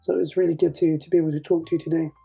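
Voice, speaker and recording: A man hosting a podcast interview, asking questions in a relaxed, encouraging way. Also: a little high, racing, gravelly, breathy edge, dull audio, soft background music. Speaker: podcast host. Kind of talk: casual interview.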